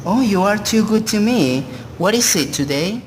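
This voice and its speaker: In a noisy, hall-like recording, a moody drunkard of a husband speaks in a radio play acted by a man. His voice sits a little high and moves slowly. The delivery is theatrical and deliberate, with a cruel streak. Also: lively intonation. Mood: affectionate. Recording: noisy, long hall-like reverb.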